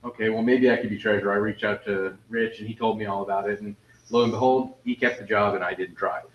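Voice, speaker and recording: A man's voice: fairly low pitch, steady tone, fast, a little hiss or hum. A man makes wry, conversational announcements as a club officer. He is heard from far off in an echoey room. The recording sounds dull and muffled. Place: meeting room. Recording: roomy, distant, dull meeting recording.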